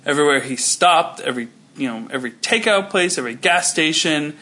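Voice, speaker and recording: Bright-voiced man hosting a podcast. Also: a little high, lively intonation, fairly quick. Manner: rambling, many fillers and restarts. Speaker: podcast co-host. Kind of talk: casual chat.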